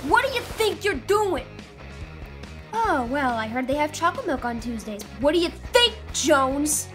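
A woman's voice: high-pitched, big pitch swings, measured pace, over music. A woman voicing a nerdy teenage boy who stammers and protests defensively, in a comic dub. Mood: nervous. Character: nerdy flustered teen boy genius.

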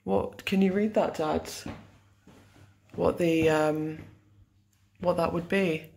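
A young woman chatting casually while filming a family tour of an old manor house on her phone, wondering aloud with soft asides. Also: lowish voice, fairly even intonation, slow pace, creaky, a little hiss or hum. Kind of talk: casual chat while touring. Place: rooms of a large old manor house, indoors. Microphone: handheld phone camera.

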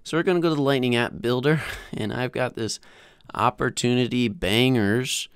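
A man in his thirties narrating a casual software tutorial in an informal, halting, self-deprecating way, close on a desktop microphone. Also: medium pitch, clear, resonant tone, no room sound. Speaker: host of a software tutorial channel. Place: small indoor room at home. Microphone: desktop microphone close to the mouth.

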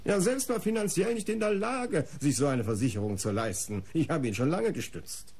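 An actor playing a defensive, disdainful art patron under police questioning in a German radio crime play, articulate, formal and self-justifying. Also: male voice, fairly high pitch, lively intonation, normal speed, a little mellow, husky. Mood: defensive, indignant.

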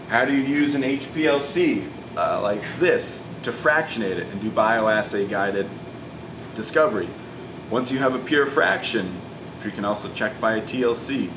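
A man in his thirties giving an earnest, informal explainer to camera as a science video host. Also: lowish voice. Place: indoor laboratory with large windows. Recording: echoey room, distant mic, clear ventilation noise.

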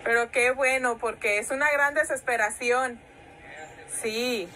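A woman's voice: on the high side, unhurried, pushed and bright, slight background noise, poor audio. A woman vlogger filming on a residential sidewalk and chatting with a neighbor, agreeing with short replies. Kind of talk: casual chat.

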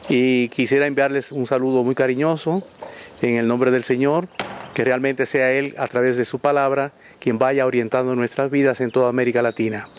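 A man's voice: medium pitch, steady tone, moderate pace. An older man with a Dominican accent, a priest giving a short pastoral greeting outdoors. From Dominican Republic. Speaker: priest, youth ministry adviser. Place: outdoors in a garden courtyard. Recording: faint outdoor background noise.

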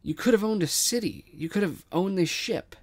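A man's voice: high voice, animated, normal speed, no room sound. A man playing an exasperated, money-minded son berating his father in a science fiction audio drama. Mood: indignant. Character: indignant, scolding son of a scavenger, money-minded.

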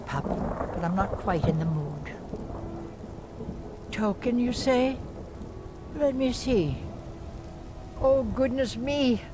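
Slow-spoken old seer woman. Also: medium pitch, noisy background. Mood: folksy, surprised. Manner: halting, portentous, drawn-out phrasing. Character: weary old fortune-telling seer woman, folksy, eerie in trance. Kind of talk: fantasy video game acting.

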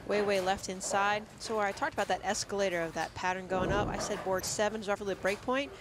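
A woman at mid pitch gives instructive color commentary, walking through each bowler's technique. She talks steadily and methodically. Loud noise fills the background. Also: steady tone, fast. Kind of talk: analytical sports commentary. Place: commentary booth at a bowling arena.

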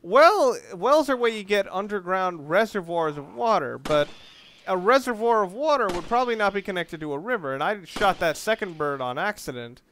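A man's voice: high-pitched, lively intonation, moderate pace, a bit bright. A man giving casual video game commentary, rambling along and thinking aloud as he plays. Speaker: let's play host.

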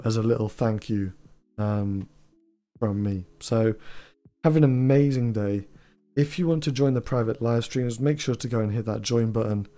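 Low-key young man, mellow. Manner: self-described monotone, rambling with fillers. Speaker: trading channel host. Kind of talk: casual talking-head advice video. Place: small room indoors. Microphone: studio mic on boom arm, headphones.

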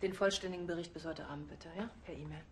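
A woman's voice: low voice, fairly even intonation, fast talker, a little hiss or hum, lightly acted. A woman playing a curt, businesslike police investigator, clipped and commanding, in a crime drama. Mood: curt, official. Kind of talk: naturalistic TV crime drama acting.

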